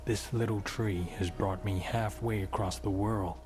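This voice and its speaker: A man acting a calm, earnest traveller in an animated fantasy motion comic, with music underneath. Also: fairly low pitch, measured pace, close to an everyday voice.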